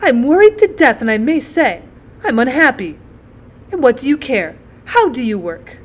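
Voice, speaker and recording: A woman's voice: sits high, sing-song, normal speed. A woman voicing an overwrought, self-pitying mother who scolds and laments in a storybook reading. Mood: bitter, lamenting. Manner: lamenting, melodramatic.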